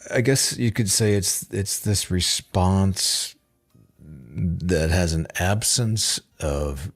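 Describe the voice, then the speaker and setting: A man with a very low voice talks at a slow pace. He muses in a conversational monologue, hesitant and understated, as if thinking each point through. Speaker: video essayist.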